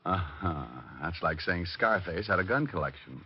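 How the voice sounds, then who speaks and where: An actor playing a wisecracking, flirtatious private detective with an American accent and breezy, sarcastic delivery in golden-age radio style. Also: male voice, low-pitched, animated, normal speed, a little hiss or hum. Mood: glib, flirtatious. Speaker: lead actor and narrator of detective radio drama. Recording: muffled old radio recording.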